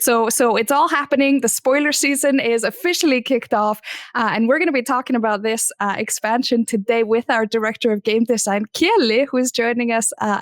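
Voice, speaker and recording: A woman co-hosting a game podcast, presenting the news in a clear, brisk manner. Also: a little high, no echo, studio quality. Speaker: podcast co-host. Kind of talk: casual podcast chat.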